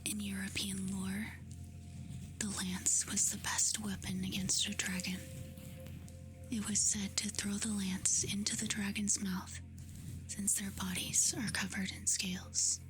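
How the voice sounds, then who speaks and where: A woman doing soft-spoken ASMR, reading facts aloud in a hushed, soothing, breathy whisper. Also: mid-range voice, flat delivery. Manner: slow, hushed, soothing delivery with short phrases. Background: music.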